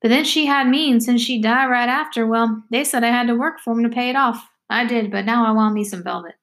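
A woman's voice: fairly high pitch, steady tone, brisk, a bit bright. A woman voicing a ragged, talkative, hungry runaway girl with blunt rustic speech in a story reading. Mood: wistful, determined.

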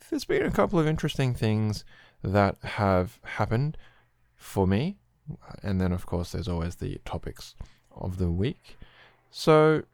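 A middle-aged Australian man with a very low voice talks through a congested head cold. He rambles and explains things casually, with the odd filler, as a solo podcast host. The sound is dry and close. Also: a little mellow, no room sound.

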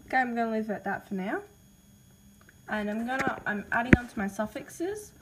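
A woman's voice: a little high, animated, a little fast, very noisy. A woman with an Australian accent, casually reading out name ideas in a voice message, hesitant and apologetic with lots of ums. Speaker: podcaster listing name ideas.

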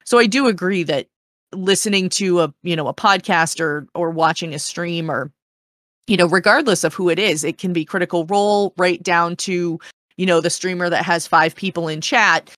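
A woman's voice: lowish voice, moderate pace, a bit bright, dry recording, studio quality. A woman in a casual discussion, explaining in an earnest, encouraging and meandering way as a panel guest.

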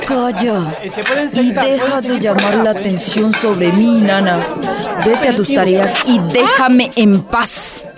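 An actress playing a proud, brooding young bride torn by forbidden love in a melodramatic audio drama. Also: female voice, medium pitch, takes it slowly, lightly acted. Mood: curt, irritated. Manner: imperious, brooding. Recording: loud music bed under the voice.

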